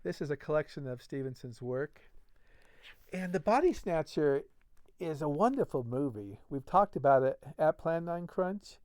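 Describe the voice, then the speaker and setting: A man's voice: medium pitch, darkish tone, no echo. A man hosting a book-reading series, casually introducing and narrating classic stories.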